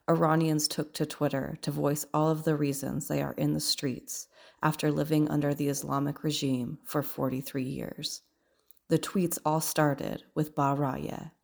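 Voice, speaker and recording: A woman with a low voice and a gravelly edge narrates a radio feature. Her reading is scripted and measured, laying out facts evenly. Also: a little mellow. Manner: measured, informative delivery. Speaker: radio host and reporter.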